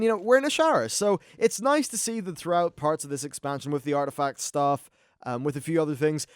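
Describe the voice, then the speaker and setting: A man's voice: fairly high pitch, dry recording, clean studio sound. A man giving casual, informal let's-play commentary on an online fantasy game, mildly sweary as he reacts to what unfolds. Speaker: gaming YouTuber.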